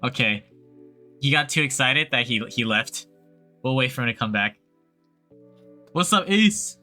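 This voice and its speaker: A man hosting a casual livestream podcast, speaking in a relaxed way with friendly slang. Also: mid-range voice, measured pace, faint music behind. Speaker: podcast host.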